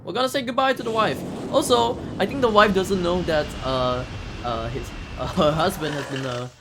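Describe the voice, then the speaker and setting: A speedrunner giving casual live commentary while playing, with excitable outbursts. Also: medium pitch, moderate pace, background noise. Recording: loud game music behind. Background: video game music.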